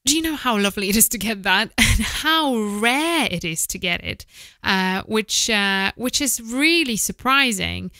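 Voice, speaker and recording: A woman in her thirties hosting a casual instructional podcast, repeating words for emphasis and pausing to think, speaking close to a studio microphone. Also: a little high, lively intonation, sharp tone, no room sound. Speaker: host, voice-over producer. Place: small home office, indoors. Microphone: close studio microphone with foam windscreen, headphones.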